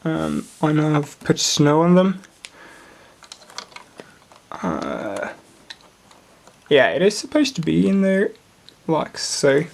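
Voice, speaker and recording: Swedish accent, slow and halting, bit of vocal fry, stops to hunt for english words. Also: medium pitch, very animated, darkish tone. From Sweden. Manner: hesitant, searching for English words. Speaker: hobbyist showing a model build. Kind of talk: casual show-and-tell narration.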